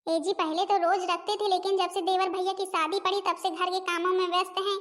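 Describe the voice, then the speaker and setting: A woman's voice: extremely high pitch, little pitch movement, a little fast, a bit bright. A woman voicing a young, dutiful, long-suffering daughter-in-law in a family comedy cartoon, deferential and chatty. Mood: defensive.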